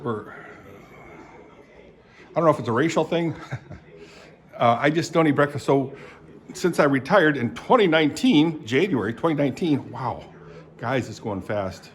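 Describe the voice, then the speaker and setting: A man in his seventies, born in Indonesia, talking in a rambling, halting way, full of fillers and frequent throat clearing. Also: a little high, animated. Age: 70s. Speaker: vlogger. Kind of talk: rambling casual monologue.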